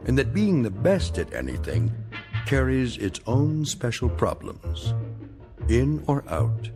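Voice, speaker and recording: An actor playing a knowing, ominous storyteller, giving dramatic scripted narration for an eerie audio drama. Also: male voice, medium pitch, sing-song, takes it slowly, over music.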